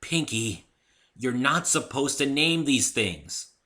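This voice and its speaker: A man's voice: mid-range voice, very animated, takes it slowly, bright, forceful tone, pitched above the performer's own voice, full character voice. A man voicing a brash, sporty, boyish female cartoon pegasus with a blunt, cocky manner in a story reading. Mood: scornful.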